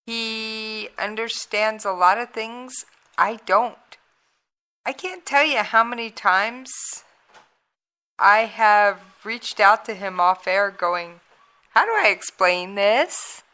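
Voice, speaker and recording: A woman's voice: mid-range voice, slow, pushed and bright. Chatty woman host losing her voice. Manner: chatty, folksy. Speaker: radio show host. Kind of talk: casual radio talk.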